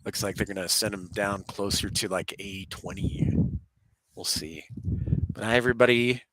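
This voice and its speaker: A man's voice: fairly low pitch, normal speed, fairly bright tone, no echo, rough recording. A man with a congested voice from a cold and sore throat, chatting casually and welcoming viewers as he hosts a live plane-spotting stream. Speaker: livestream host, aviation spotter. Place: live at an airport.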